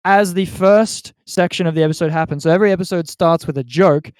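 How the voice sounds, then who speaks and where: A man's voice: sits high, brisk, smooth, dry recording. A man, hosting a casual podcast in a conversational style. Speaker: podcast host.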